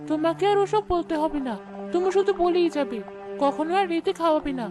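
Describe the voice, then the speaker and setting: A woman's voice: extremely high pitch, brisk, music in the background. A woman playing a young, petulant village daughter in a cartoon story. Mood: sulky. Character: sulky grown village daughter, young and hungry. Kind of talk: Bangla cartoon story dialogue.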